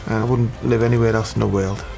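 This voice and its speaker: A man's voice: fairly low pitch, level tone, quick pace, creaky, over music. A man, reflectively telling his family history in a documentary interview. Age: 50s.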